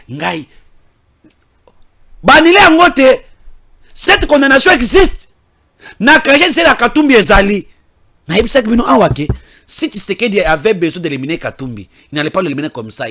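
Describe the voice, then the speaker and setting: A high-voiced man from DR Congo, speaking as a political commentator. His delivery is emphatic, with repeated words and phrases and loud declarations that give way to low, muttered asides.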